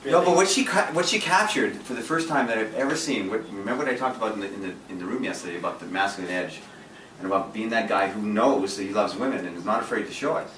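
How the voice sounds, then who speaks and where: A man's voice: fairly low pitch, animated, fast talker, fairly bright tone. A man talking in a casual group chat as a dating coach, intense and direct, with lots of restarts. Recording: echoey room from a distance, faint noise.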